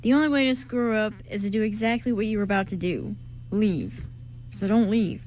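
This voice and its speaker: A woman playing a steady, gently teasing best man in an amateur radio play, speaking in a casual, soothing way. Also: medium pitch, a little fast, close to an everyday voice. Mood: gently firm. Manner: casual, soothing, plain-spoken. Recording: clearly noisy amateur recording.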